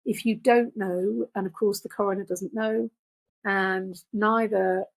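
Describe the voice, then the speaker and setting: Older woman with a husky, creaky voice. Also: mid-range voice, fairly even intonation, measured pace, rough recording. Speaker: podcast guest. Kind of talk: reflective conversational talk.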